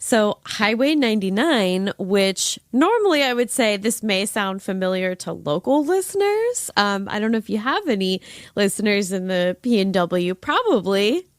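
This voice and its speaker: A woman's voice: medium pitch, sing-song, normal speed, no echo, studio quality. A woman podcast host telling a story in a casual, chatty style.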